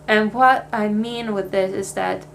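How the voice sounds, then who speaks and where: A woman's voice: medium pitch, steady tone, unhurried, creaky, a little hiss or hum. A young Swedish woman with a Swedish accent, chatting casually in a life update vlog, with plenty of fillers and restarts. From Sweden. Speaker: vlogger.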